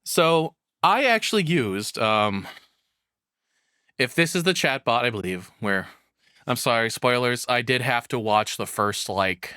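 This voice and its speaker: A man's voice: on the low side, bright, forceful tone, clear, resonant tone, no room sound, studio quality. A man doing casual live reaction commentary in a rambling, frank, crudely humorous way. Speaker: reaction streamer.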